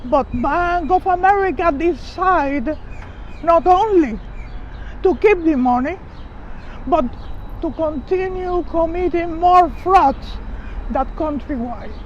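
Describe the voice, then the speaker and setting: An older woman with a Cuban accent giving emphatic, deliberate public testimony in a speech at a press conference. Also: very high-pitched. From Cuba. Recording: dull outdoor recording with traffic noise.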